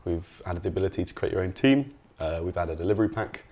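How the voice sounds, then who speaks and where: Low-pitched man, casual game designer. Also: expressive, fast, a little mellow. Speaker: video game designer being interviewed. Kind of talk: casual interview explanation.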